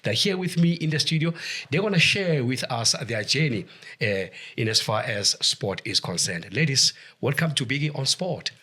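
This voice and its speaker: A man's voice: medium pitch, animated, normal speed, bright, forceful tone, slightly rough. A man hosting a studio sports interview, with many fillers and short okays. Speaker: show host.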